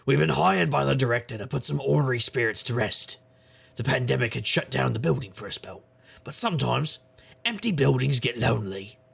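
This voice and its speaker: An actor playing a jaded, wisecracking paranormal investigator, narrating an audio drama in a wry, rambling, noir style. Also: male voice, mid-range voice, lively intonation, brisk, fairly bright tone, heavily acted. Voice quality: gravelly. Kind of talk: scripted noir-style first-person narration.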